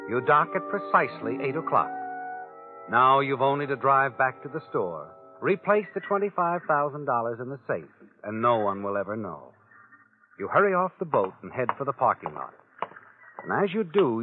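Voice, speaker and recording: A man acting a sardonic, all-knowing narrator of crime tales from 1940s radio. His medium-pitched delivery swings widely and insinuates as it taunts. The sound is a tinny old broadcast with music behind. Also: close to an everyday voice. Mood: mocking, suspenseful. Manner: taunting, insinuating second-person storytelling.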